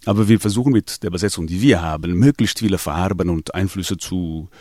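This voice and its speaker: Gravelly low-voiced man. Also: normal speed, mellow, dry recording, studio quality. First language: Portuguese. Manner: hesitant, many restarts and fillers. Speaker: singer in a latin music band. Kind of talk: radio interview.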